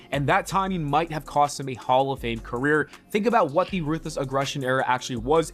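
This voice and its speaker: Man, slightly high voice, scripted video essay narration, punchy and emphatic, faint background music.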